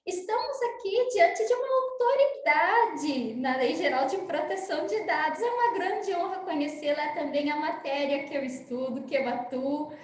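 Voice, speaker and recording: A high-pitched woman gives an effusive introduction. She hesitates and fills gaps as she goes, and her voice rings in an echoey room with a faint hiss behind it. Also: animated, moderate pace, hardly any pauses. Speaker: moderator introducing a speaker. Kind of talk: formal introduction at an online conference.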